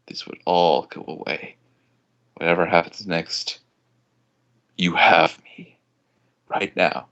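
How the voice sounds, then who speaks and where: Slow, low-voiced man reading aloud. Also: fairly bright tone, lo-fi sound. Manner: breaks into laughter at the text. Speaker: fan fiction reader. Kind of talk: amateur dramatic story reading.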